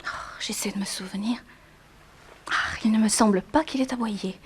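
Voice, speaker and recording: An actress as a composed, articulate young bereaved daughter. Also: female voice, fairly high pitch, moderate pace, slight background noise, natural voice. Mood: thoughtful. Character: composed young woman, bereaved planter's daughter, frank and guarded. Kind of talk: 1960s French radio drama acting.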